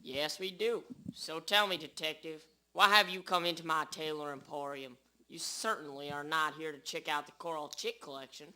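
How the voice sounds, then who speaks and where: Man acting a very bright-voiced genial tailor. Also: a little high, fairly even intonation, normal speed. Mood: inquiring. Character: genial male shopkeeper tailor, detective's old friend. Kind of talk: hobby radio drama acting. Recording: rough amateur recording.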